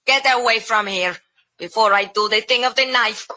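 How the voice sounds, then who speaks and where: A woman's voice: a little high, moderate pace, bright, forceful tone. An actress playing a fiery, proud and dangerous cafe woman with a Spanish accent, in old radio drama style. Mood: defiant, suspicious. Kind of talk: 1950s radio drama acting. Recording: faintly noisy old radio recording.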